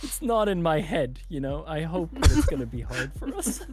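A young man hosting a co-op game stream, giving excited calls to his teammates and chatting casually. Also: fairly high pitch, moderate pace, music in the background. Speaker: streamer and host.

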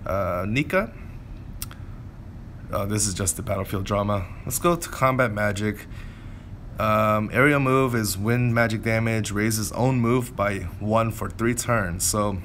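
A man with a fairly low voice talks through gameplay casually. He thinks aloud and explains things as he goes, over clearly audible background noise. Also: measured pace, a bit bright. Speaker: gameplay commentator. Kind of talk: casual game commentary.

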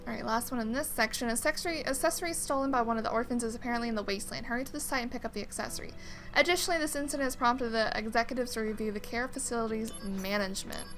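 Female gamer host, higher-pitched voice, casual playthrough commentary over light game music. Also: very fast, a bit bright, rough recording. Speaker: gaming video host. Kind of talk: casual playthrough commentary, reading mission text aloud. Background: video game music.

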